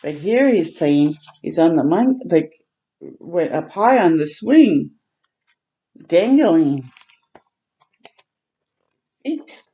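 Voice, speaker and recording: A woman hosting a children's storytime, reading a picture book aloud in a gentle, expressive way with tender asides to listeners. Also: fairly low pitch, drawn-out words, long pauses, husky, highs cut off. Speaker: children's read-aloud host.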